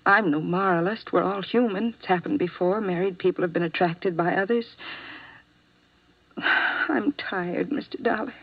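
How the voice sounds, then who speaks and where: An actress playing a weary, guarded doctor's employee in a 1950s radio drama, speaking hesitantly with an American accent. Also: female voice, on the low side, moderate pace, no room sound. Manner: hesitant, evasive, sighing. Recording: dull, dry 1950s radio recording.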